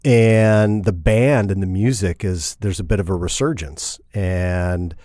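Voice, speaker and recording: A man's voice: sits low, fairly even intonation, unhurried, mellow, no echo, studio quality. A middle-aged man from Indiana, talking casually as a podcast guest, rambling and repeating and correcting himself. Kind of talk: casual interview.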